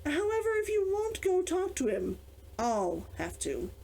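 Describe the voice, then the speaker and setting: A woman reading aloud a storybook man who is measured, matter-of-fact and teasing. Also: high-pitched, wildly swooping pitch, creaky, mellow, pitched above the performer's own voice, exaggerated voice, rough recording. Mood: dry, insistent.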